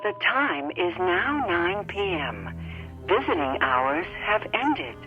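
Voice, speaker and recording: Hospital pa announcer, slow n formal, phone-like tinny filter, radio drama. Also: female voice, lowish voice, big pitch swings, soft background music. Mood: impersonal. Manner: formal, measured. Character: impersonal female hospital public-address announcer. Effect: public-address filter. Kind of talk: scripted announcement. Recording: tinny, phone-like intercom sound.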